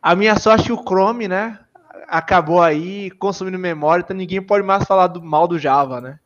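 Bahian guy chatting on a live stream, bit high voice, jokey and self-mocking, muffled audio. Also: normal speed, fairly bright tone. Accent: Bahian Brazilian Portuguese. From Salvador, Bahia, Brazil. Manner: self-deprecating, jokey, full of filler tags. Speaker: software engineer guest. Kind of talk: casual livestream chat.

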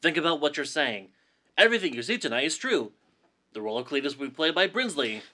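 A man's voice: fairly high pitch, very animated, fast talker, sharp tone. A man playing a hesitant, stammering, literal-minded stage manager in a comedy radio play. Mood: puzzled. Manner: hesitant, stammering, matter-of-fact.

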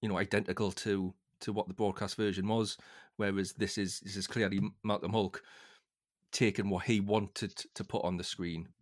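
A man hosting a casual podcast discussion in a halting way, often repeating words as he goes. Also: on the low side, flat delivery. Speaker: podcast host.

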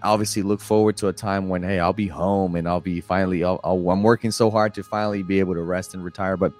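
A man's voice: low-pitched, fairly even intonation, quick pace, darkish tone, soft background music. A man from South Texas talking reflectively in a casual conversation, often adding "right?" after his points. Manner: thoughtful, adds "right?" after phrases.

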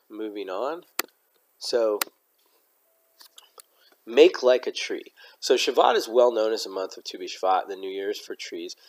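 Slightly hoarse, low-pitched man teaching. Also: low-quality recording. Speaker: host of a Bible study podcast. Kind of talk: informal religious teaching with scripture readings.

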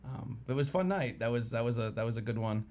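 A man hosting a casual podcast over beers, talking in a relaxed, rambling way with lots of fillers. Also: mid-range voice, fast. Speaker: podcast host.

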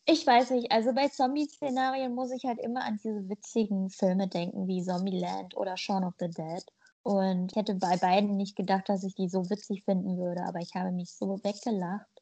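A woman's voice: mid-range voice, level tone, normal speed, warm tone. A woman born in Germany co-hosting a podcast, talking bluntly and playfully in casual chat. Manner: blunt, playful, spins jokes out. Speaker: podcast co-host.

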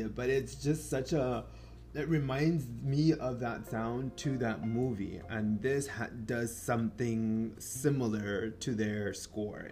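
A man from Mexico whose first language is Spanish talks casually about movies at an ordinary mid pitch. He rambles, trips over restarts, fills gaps with ums and lets a swear word slip now and then. Faint music plays underneath. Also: darkish tone. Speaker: podcast host. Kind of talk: casual film review chat.

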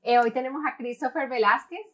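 A woman hosting a friendly talk show. Her voice sits a little high, and she reacts warmly and often with "wow" and "qué lindo" while her guest speaks. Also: brisk. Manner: enthusiastic, many "wow" and "qué lindo" interjections. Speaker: host. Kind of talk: casual interview.

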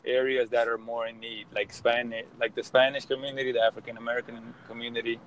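A man in his thirties from the Dominican Republic, a software developer, talking in a halting, rambling way in a casual video chat. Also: medium pitch, flat delivery, low-quality recording. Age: 30s. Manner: rambling, halting, many restarts, laughs easily.

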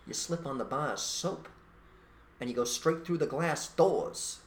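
A man's voice: on the high side, unhurried. A man co-hosting a podcast, talking in a blunt, emphatic way and making rhetorical points in an opinionated conversational monologue. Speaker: podcast co-host.

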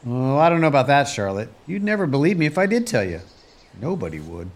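An actor playing a kindly, folksy rancher in a radio play, with an easygoing way of talking. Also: male voice, mid-range voice, sing-song, fast. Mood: wry, reflective.

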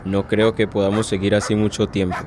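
A man's voice: fairly low pitch, level tone, brisk, noisy background, close to an everyday voice. A man playing a weary detention guard in radio drama dialogue.

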